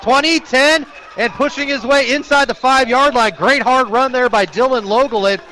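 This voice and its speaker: A man working as a radio sportscaster, calling high school football live in clipped, descriptive phrases that turn excited on big plays. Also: normal speed. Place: outdoor stadium, live radio broadcast.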